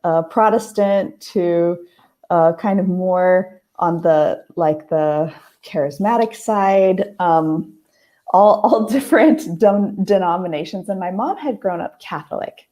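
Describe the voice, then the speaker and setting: Hoarse lady chatting at home at night, kinda low mellow voice, lots of ums. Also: takes it slowly. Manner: thoughtful, with many ums and restarts. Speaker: host. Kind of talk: casual heart-to-heart monologue. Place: family house, at night.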